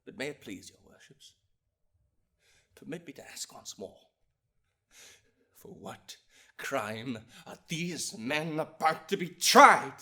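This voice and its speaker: A man playing an impassioned young eighteenth-century lawyer in a dramatic, theatrical courtroom oration about injustice, with long pauses and big swings of force. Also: fairly high pitch, animated, sharp tone, full character voice. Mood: solemn, pointed. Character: impassioned young 18th-century lawyer, indignant defender of liberty.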